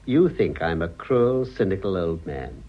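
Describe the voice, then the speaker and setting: Suave old English cynic, raspy, sardonic, radio play, slightly muffled sound. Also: male voice, sits low, lively intonation, a little slow. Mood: cutting. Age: older. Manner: worldly, sardonic, polished. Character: cynical, urbane old English gentleman, amused and cutting. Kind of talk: radio drama acting.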